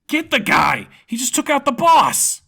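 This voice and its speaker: A man voicing a panicky merman thug from a fantasy story, excitable and urgent. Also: very high voice, a little slow, gravelly, voice pushed up, full character voice. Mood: panicked.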